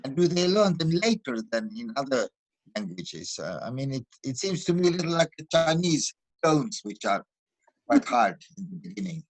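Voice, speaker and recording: A man taking part in an online lesson discussion, explaining his points in a deliberate, argumentative way. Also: mid-range voice, big pitch swings, dry recording, rough recording. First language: not Finnish. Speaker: lesson participant. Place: online video call.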